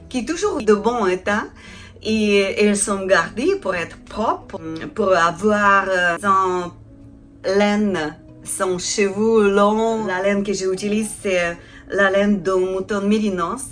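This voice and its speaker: Slow-speaking Ukrainian-accented woman. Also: mid-range voice, a bit bright, soft background music. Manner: halting, searching for words in non-native French. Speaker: craft channel host, felting artisan. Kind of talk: casual introduction to camera.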